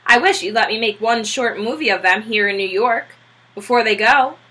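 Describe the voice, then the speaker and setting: A woman voicing a brisk, eager male film businessman from a storybook, talking like an emphatic, informal salesman. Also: a little high, pushed and bright. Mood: eager, persuasive.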